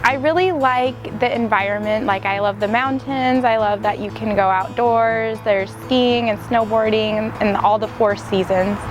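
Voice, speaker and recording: A woman's voice: fairly high pitch, normal speed, hardly any pauses, dull audio. Young woman, plain and friendly. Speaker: medical student. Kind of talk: casual interview answer. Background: background music.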